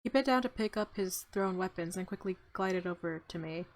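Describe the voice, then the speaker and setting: A woman's voice: fairly low pitch, fairly quick. Woman reading a visual novel aloud. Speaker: let's player.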